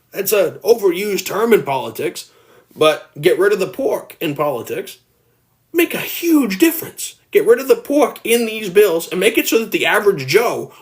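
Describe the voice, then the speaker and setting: A man in his early twenties hosting a casual livestream, talking earnestly and at length and reading viewer comments aloud, his voice turning gravelly at times. He is indoors at home, talking into a phone. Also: high-pitched. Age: early 20s. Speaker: livestream host.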